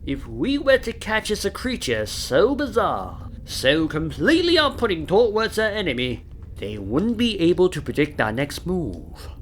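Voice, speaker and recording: A man's voice: sits high, huge pitch swings, measured pace, slight background noise, exaggerated voice, low-quality recording. A man voicing a nervous, fawning little turtle wizard minion in a comic audio play, flustered and stammering. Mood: anxious, fawning.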